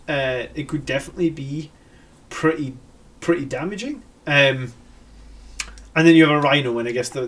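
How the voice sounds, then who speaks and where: Scottish man mulling over army lists. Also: fairly high pitch. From Scotland. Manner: thinking aloud, many fillers and self-corrections. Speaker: host reviewing army lists. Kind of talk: live-stream casual commentary.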